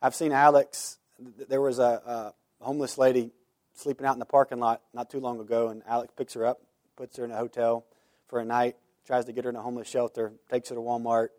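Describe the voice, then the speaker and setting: A man's voice: medium pitch, level tone, a little fast, a little mellow, no room sound, studio quality. A man pastor giving informal church announcements and prayer requests, earnest and full of "uh" fillers. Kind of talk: church announcements before sermon. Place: church sanctuary.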